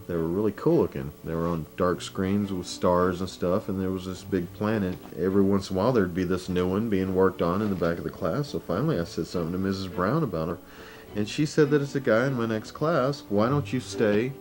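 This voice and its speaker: Male interviewee, very deep voice, casual anecdotal reminiscence, faint music underneath. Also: darkish tone. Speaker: documentary interviewee.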